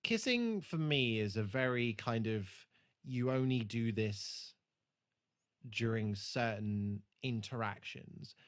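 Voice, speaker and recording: A British man with a medium-pitched voice talks casually as a podcast co-host. He reviews an episode in a hesitant way, working out his thoughts as he speaks. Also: expressive, slow pace.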